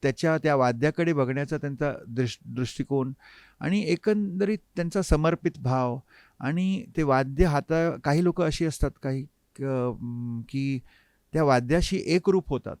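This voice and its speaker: A man, reminiscing reflectively as an interview guest and often pausing mid-sentence to find his words. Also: on the high side, darkish tone, no echo, clean studio sound. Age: 50s. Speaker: interview guest, harmonium player. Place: recording studio.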